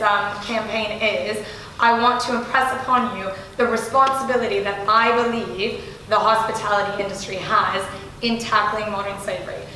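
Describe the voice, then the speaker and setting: Slightly hoarse woman giving an enthusiastic talk. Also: a little high, pushed and bright. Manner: enthusiastic, with restarts. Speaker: guest speaker from a foundation. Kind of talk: live speech at an event. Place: large room, audience present. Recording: very echoey room, faint noise.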